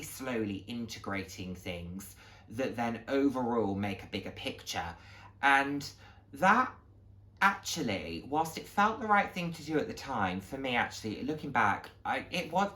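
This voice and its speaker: A man's voice: mid-range voice, fairly bright tone. A man giving a candid, motivational monologue to camera, speaking earnestly and emphatically and repeating phrases for stress. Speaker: vlogger sharing a personal journey.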